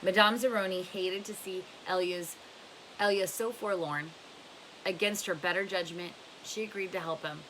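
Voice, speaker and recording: A woman's voice: fairly low pitch, steady tone, normal speed, fairly bright tone, poor audio. A woman narrating a book aloud in a storytelling style. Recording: faint hiss behind voice.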